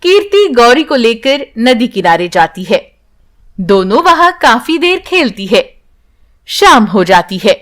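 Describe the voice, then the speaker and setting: Lady telling a kids bedtime story, high voice, really slow pace. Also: sing-song. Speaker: story narrator. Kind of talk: scripted children's story narration.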